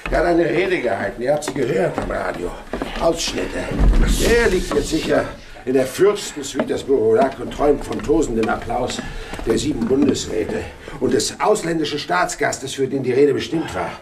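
An actor playing a wily older police inspector, sly and commanding, in a German radio crime drama. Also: male voice, medium pitch, animated, moderate pace, gravelly, background noise. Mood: sly, assured. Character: wily retiring police inspector leading a break-in.

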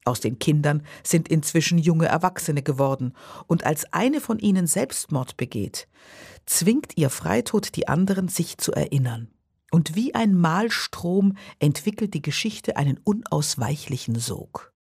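A radio presenter reading a scripted introduction to a literary work in a husky voice. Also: mid-range voice, lively intonation, a little slow, darkish tone, no echo, studio quality.

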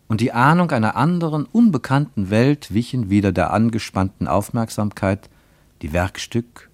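A man as the narrator of a radio play travelogue, reading literary prose in a measured, reporting manner. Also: lowish voice, no room sound.

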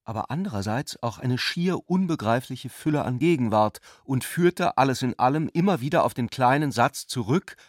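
An actor playing a serious, doubting narrator and lover in a literary radio drama, speaking in a measured, deliberate way. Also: male voice, mid-range voice, lively intonation, no echo, clean studio sound, close to an everyday voice. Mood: measured, wondering.

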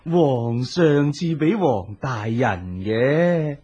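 A man's voice: medium pitch, animated, drawn-out words, warm tone, slightly rough, lo-fi sound, heavily acted. A man acting a smug, boastful, wealthy nobleman in a Cantonese audio drama, drawling and mocking as he taunts a rival.

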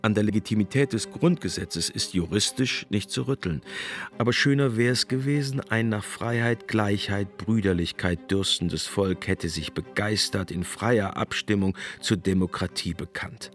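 A man narrating a scripted essay commentary in a measured, reflective manner. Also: lowish voice, soft background music.

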